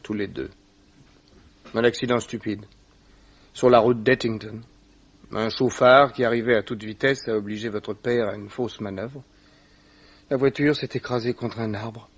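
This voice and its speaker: Earnest young gentleman, muffled sound. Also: fairly low pitch, fairly even intonation, unhurried, long pauses. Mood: grave, consoling. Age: young adult. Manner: formal, earnest. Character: rich, loyal, earnest young heir consoling his friend. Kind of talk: 1950s French radio drama acting. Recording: dull old radio recording.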